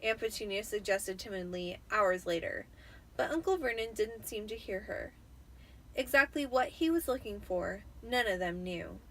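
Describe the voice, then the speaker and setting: A tipsy woman reading a story aloud in an expressive, dramatic audiobook style, with the occasional stumble. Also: medium pitch, normal speed, low-quality recording. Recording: noticeable background noise.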